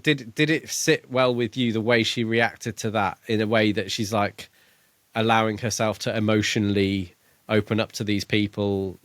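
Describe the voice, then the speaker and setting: A man's voice: lowish voice, a bit bright, dry recording. A man co-hosting a podcast, chatting casually about a book and thinking aloud with some hesitation. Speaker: podcast co-host. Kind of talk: casual book discussion chat.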